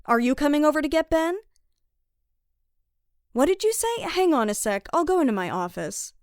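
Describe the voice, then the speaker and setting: A woman's voice: high voice, sing-song, moderate pace, darkish tone, no echo, clean studio sound. A woman playing a worried, scolding mother in a children's audio drama. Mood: secretive, hurried.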